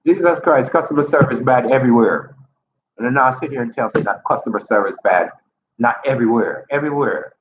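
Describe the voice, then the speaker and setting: Chatty man in his fifties. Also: brisk. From Jamaica. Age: 50s. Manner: chatty and upbeat, swings high when making a point. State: stuffy nose from a cold. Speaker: vlogger. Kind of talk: casual vlog talk to camera. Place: apartment indoors. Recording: roomy lo-fi audio with ambient noise.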